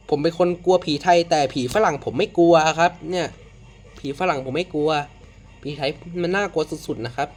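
A man from Thailand hosting a casual horror reaction video, excitable and full of exclamations. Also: fairly high pitch. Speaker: video host.

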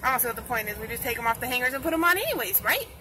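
A woman's voice: fairly high pitch, expressive, racing. Chatty young woman filming on a phone. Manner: chatty, rambling, talks straight to the camera. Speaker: vlogger. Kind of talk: casual family vlog. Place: home bedroom and parked car at night. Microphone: phone camera at arm's length. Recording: noisy phone recording.

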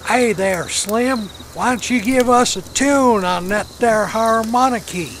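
A man's voice: very high voice, measured pace. A man playing a folksy, cranky old-West cowhand in a radio comedy. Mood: gruff teasing. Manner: folksy, rustic. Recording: noisy, crickets in the background.

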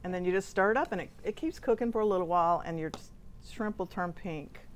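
A woman's voice: fairly low pitch, fast talker, slight background noise. A middle-aged woman, a guest chef, chatting while giving a cooking demonstration on a studio kitchen set, laughing easily.

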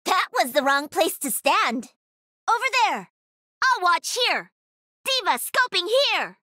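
An actress playing a cocky, playful young pro-gamer mech pilot in a video game, with peppy, punchy delivery. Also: female voice, extremely high pitch, big pitch swings, takes it slowly, pushed and bright, no echo, studio quality, heavily acted. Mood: excited, competitive. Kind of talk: video game character voice lines.

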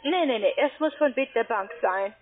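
A woman with a foreign accent speaks in a fairly high voice as a call centre agent. She gives instructions in halting, non-native phrasing over a tinny phone line. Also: expressive, faint music behind. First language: not German.

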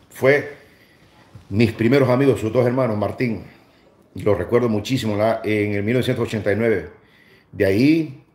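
A man's voice: lowish voice, a little mellow. A middle-aged man with a Salvadoran accent, chatting casually as a livestream host and repeating phrases for emphasis. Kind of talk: casual livestream chat and commentary. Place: small room indoors. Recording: roomy indoor echo.

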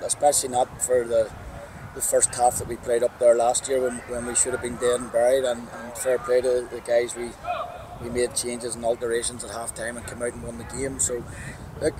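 Northern Irish manager in his forties, outdoor pitchside interview, even monotone, noisy open air. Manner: plain-spoken, upbeat, restarts phrases often. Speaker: football manager. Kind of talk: post-match interview. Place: outdoors at a football ground. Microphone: clip-on lapel mic. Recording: outdoor background noise.

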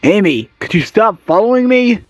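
A woman's voice: mid-range voice, moderate pace. A woman voicing a brash, playful, cocky young male blue hedgehog hero in a cartoon comic dub. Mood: annoyed. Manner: cheeky, animated.

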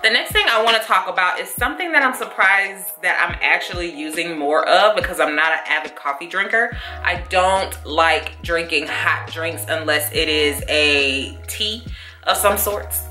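Young woman vlogger, deep bright voice, chatty and animated, roomy bedroom sound with soft music under. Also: normal speed. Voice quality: deep, low voice. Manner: chatty, animated, addresses viewers as y'all and honey. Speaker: lifestyle vlogger. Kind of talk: casual chat to camera. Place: bedroom at home. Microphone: camera mic, seated facing camera.

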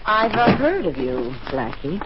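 A muffled old radio recording with faint noise. a bitter, jilted young dame, curt and sardonic, her pitch swinging in a lively way. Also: a little slow. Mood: cool, sardonic. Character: hard-boiled, bitter jilted young woman. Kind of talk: 1940s radio acting.

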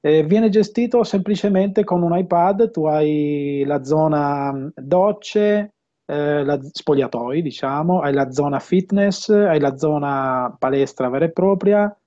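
A man in his fifties speaks in a relaxed, mellow voice as an interview guest. He explains things conversationally, stopping to find his words. The sound is dull and lo-fi, captured through an earphone headset on a video call. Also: on the high side, a little slow. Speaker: audio system installer and dealer being interviewed. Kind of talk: casual interview talk. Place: home room with bookshelves, indoors.

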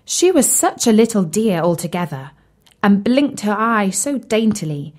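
A woman tells a children's story at a slow, careful pace. Her pitch moves in lively swoops, and she speaks expressively as if directly to young listeners. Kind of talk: scripted children's story narration.

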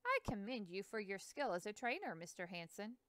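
A woman's voice: mid-range voice, sing-song, fairly quick, a bit bright, dry recording. A woman voicing an earnest, courteous young male explorer who speaks eagerly and politely.

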